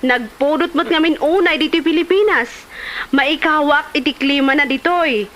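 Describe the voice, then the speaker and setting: A woman acting a breezy young daughter in an Ilocano comedy drama, chatty and quick to protest. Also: high-pitched, fairly bright tone. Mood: breezy, persuading. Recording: noise behind the voice.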